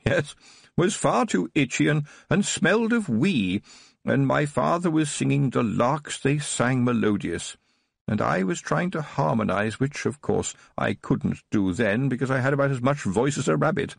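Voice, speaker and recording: A man's voice: medium pitch, gravelly, husky, dry recording, clean studio sound, exaggerated voice. A man doing a frail old baron, tearful and wistful. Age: older. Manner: trembling, wistful, rambling recollection. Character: frail elderly male aristocrat, tearful and nostalgic.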